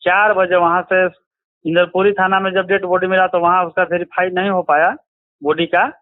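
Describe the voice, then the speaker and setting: बिहार का एक आदमी, बिहारी लहजे में, फ़ोन इंटरव्यू में घटनाएँ सुनाता हुआ, अटक-अटक कर बोलचाल के अंदाज़ में।. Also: male voice, sits high, little pitch movement, moderate pace, no echo. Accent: Bihari. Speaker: interviewee, relative of a crime victim. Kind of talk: recounting events in a phone interview. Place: phone call. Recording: tinny, choppy phone line.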